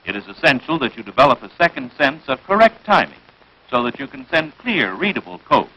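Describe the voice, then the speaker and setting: A man narrates a 1940s military instructional film with an American accent. His delivery is crisp and declarative, with animated swings in pitch and a forceful, slightly husky edge. The sound is that of a vintage film soundtrack. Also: fairly quick, low-quality recording.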